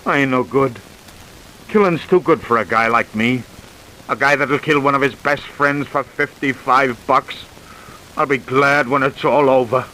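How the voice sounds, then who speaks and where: An actor playing a broken, self-loathing American murder suspect in an old-time radio crime drama, plain-spoken and downcast. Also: male voice, medium pitch, bright, forceful tone, poor audio. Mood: bitter, resigned. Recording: hissy old radio recording.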